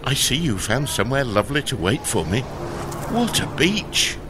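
A deluded, cheerful rescuer played by a man with a mid-pitched, lively voice. He is awkward and blandly upbeat in a sci-fi audio comedy, with clear outdoor noise around him. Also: moderate pace, natural voice. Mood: blithely cheerful.

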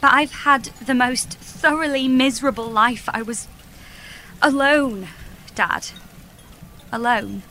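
A woman's voice: sits high, slow, pauses often, bright, forceful tone, a little hiss or hum, exaggerated voice. An actress playing a wounded, exasperated daughter in her thirties in an audio comedy, bluntly pressing for answers. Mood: hurt, aggrieved. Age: 30s. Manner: blunt, insistent, aggrieved. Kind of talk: audio comedy drama acting.

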